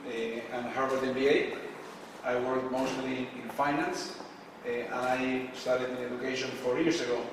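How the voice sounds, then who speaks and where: A man's voice: medium pitch, background noise. Man speaking from far off in an echoey hall. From Argentina. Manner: casual, frequent filler pauses, asks the audience questions. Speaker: conference speaker. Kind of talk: live presentation to an audience. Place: event space with a live audience. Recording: echoey hall, distant mic.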